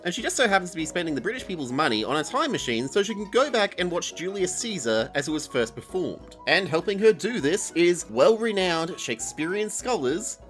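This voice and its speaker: A man's voice: fairly high pitch, a bit bright. A man giving a casual, scripted review in a wry, conversational way. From Australia. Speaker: reviewer, host.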